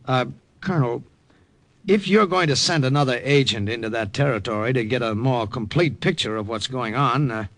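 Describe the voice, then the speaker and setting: A man's voice: medium pitch, moderate pace, fairly bright tone, natural voice. A man playing an eager, brash young army sergeant with an American accent, earnest and wry in an old-time radio drama. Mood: eager, pleading.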